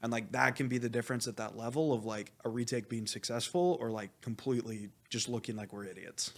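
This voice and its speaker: A man speaking as a coach in a casual interview, animated and blunt, rambling with lots of "like" and some swearing. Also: mid-range voice, brisk. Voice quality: loud voice. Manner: animated, rambling, heavy use of "like". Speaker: esports coach being interviewed. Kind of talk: casual, candid interview talk.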